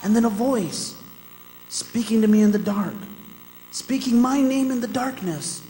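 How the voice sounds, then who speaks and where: A man's voice: very high voice, warm tone. An actor playing a desperate, haunted condemned man, theatrical and solemn in old-time radio drama style. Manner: theatrical, solemn, drawn-out phrasing. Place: performing arts center stage, live performance. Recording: echoey hall with music behind.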